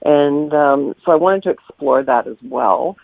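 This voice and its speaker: A man's voice: on the high side, unhurried, creaky, no room sound. A man answering interview questions as a guest novelist in a conversational, reflective way, explaining things as he goes. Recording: thin telephone-like line.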